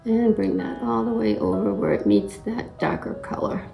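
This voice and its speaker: A middle-aged woman with a slight cold and a little raspy voice, talking through a makeup tutorial step by step in a relaxed, casual way and clearing her throat now and then. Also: medium pitch, moderate pace, soft background music. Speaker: makeup vlogger.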